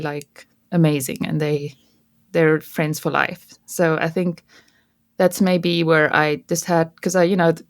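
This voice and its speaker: Low gravelly South African woman. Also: no room sound, studio quality. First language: Afrikaans. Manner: chatty, restarts often, laughs easily. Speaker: guest. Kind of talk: casual interview chat.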